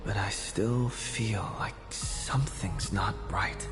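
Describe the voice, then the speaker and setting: Solemn young hero, video game drama, hushed, very slow, resolute, background music. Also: male voice, lowish voice. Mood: solemn resolve.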